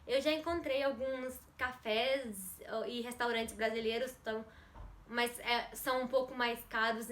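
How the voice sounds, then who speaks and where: A young Brazilian woman with a Brazilian Portuguese accent chats casually to her viewers, answering questions. Her voice sits a little high, and she often restarts and corrects herself as she goes. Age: young adult. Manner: chatty, self-correcting, laughs often. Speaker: YouTuber. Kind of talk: casual Q&A vlog.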